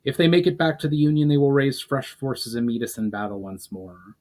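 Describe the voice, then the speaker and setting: A man voicing a weary but principled fantasy war leader with earnest, deliberate emphasis. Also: mid-range voice, lively intonation, a little mellow, pitched above the performer's own voice, close to an everyday voice. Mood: commanding.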